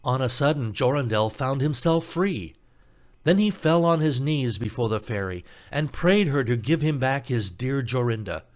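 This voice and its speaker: A man's voice: medium pitch, animated, moderate pace. A man reading a scripted fairy tale as a storybook narrator, telling it expressively.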